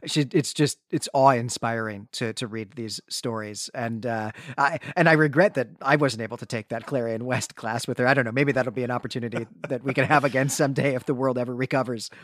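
A man's voice: mid-range voice, fairly even intonation, a little fast, hardly any pauses, no room sound, clean studio sound. A man speaking as a podcast co-host, giving an articulate, enthusiastic and conversational recap and discussion.

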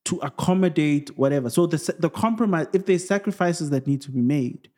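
A man co-hosting a relaxed Q&A with his wife, talking things through with rambling examples and playful asides. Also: on the high side, brisk. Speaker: podcast co-host, singer. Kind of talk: casual couple Q&A chat.

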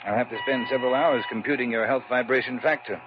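A man's voice: medium pitch, fairly even intonation, fast, over music, natural voice. Man playing quack doctor, oily, falsely gracious, old radio drama, lo-fi sound. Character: smooth-talking quack doctor, middle-aged man, oily swindler. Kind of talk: 1940s radio drama acting. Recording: dull lo-fi old radio broadcast.

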